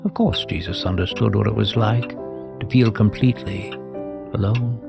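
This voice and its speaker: A man narrating a scripted audio drama as a storyteller, in a measured, reflective manner. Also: fairly low pitch, vocal fry. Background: music.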